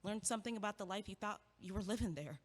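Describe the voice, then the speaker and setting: An actress playing a brisk, lightly teasing student who encourages her neighbor in an audio play. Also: mid-range voice, long reverb tail, lightly acted. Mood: encouraging.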